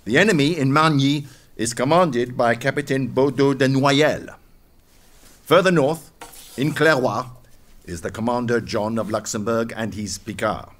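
A man playing an orderly medieval French captain in an audio drama, briefing in a formal, measured way. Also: mid-range voice, fairly bright tone, close to an everyday voice. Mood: commanding, methodical.

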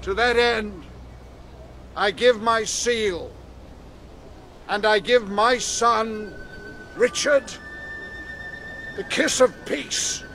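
An actor playing an old, embittered, defeated English king in a historical radio drama, proud and solemn in halting phrases. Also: sits high, sharp tone, soft background music, exaggerated voice. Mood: grudging, solemn. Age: older.

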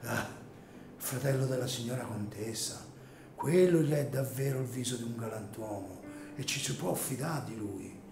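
An actor as a nervous male graveyard keeper in a radio drama, a scrupulous family man who hesitates and repeats himself. His voice sits at a medium pitch, with some echo and soft music underneath. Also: moderate pace, gravelly, close to an everyday voice. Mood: uneasy, brooding. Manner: agitated, hesitant, repeats words. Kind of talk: Italian radio drama acting.

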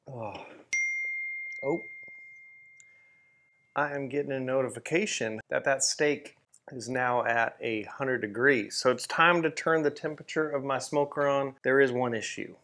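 A man in his thirties hosting a home grilling vlog, upbeat and hyped, who breaks into loud whoops. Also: medium pitch. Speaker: grilling channel host. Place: home kitchen and backyard.

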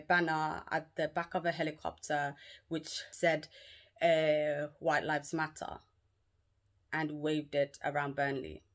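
A woman's voice: deep voice, a bit bright. A young woman telling an emphatic, slangy story to her vlog audience. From London. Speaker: vlogger.

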